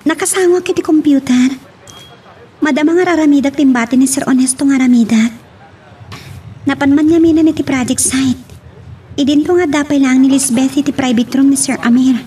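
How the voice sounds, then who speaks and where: A woman's voice: high-pitched, moderate pace, long pauses, warm tone, lightly acted. A woman acting a guarded young secretary in an Ilocano radio drama, polite but firm and evasive. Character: guarded young female secretary, Adelfa, politely standing her ground.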